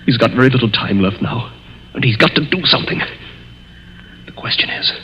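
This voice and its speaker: A man's voice: medium pitch, a little fast, natural voice. An actor playing a nerve-racked young man hunted by his stepfather, tense and dramatic in the 1940s radio style. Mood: anguished, dread. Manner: tense, agitated, dramatic delivery. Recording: dull, noisy old 1940s radio recording.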